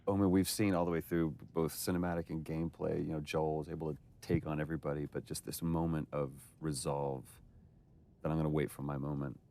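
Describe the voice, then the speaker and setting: A man's voice: extremely low pitch, little pitch movement, fairly quick, mellow. A man, an actor giving casual, reflective commentary on a game he performed in. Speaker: game's lead voice actor.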